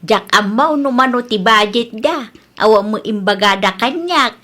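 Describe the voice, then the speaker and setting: A woman's voice: a little high, moderate pace, bright, forceful tone. An actress with an Ilocano Filipino accent playing a practical, sceptical mother in a comedy radio drama.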